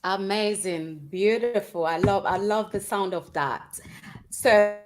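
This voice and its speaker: A woman's voice: medium pitch, slow pace, noisy background. A woman hosting a livestream interview, speaking in an enthusiastic, encouraging way. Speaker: livestream host.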